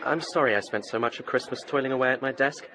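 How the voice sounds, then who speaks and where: An actor playing an earnest, courteous young university student in a radio drama. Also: male voice, medium pitch, quick pace, a crowd in the background, noisy background, lightly acted. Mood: courteous interest. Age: young adult.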